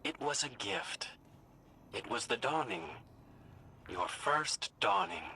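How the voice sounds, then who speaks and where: An actor playing a small, cheerful, caring robot companion in a video game. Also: male voice, fairly high pitch, very animated, slow, creaky, bright, forceful tone, a little hiss or hum, full character voice. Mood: warm, eager. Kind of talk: dramatic video game cutscene acting.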